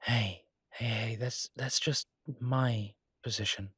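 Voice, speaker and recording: A man playing an easygoing, earnest chef boyfriend in an intimate roleplay audio drama, talking casually and haltingly with a husky, reassuring voice. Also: medium pitch, fairly even intonation, fairly bright tone, dry recording, clean studio sound, heavily acted. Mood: gently reassuring.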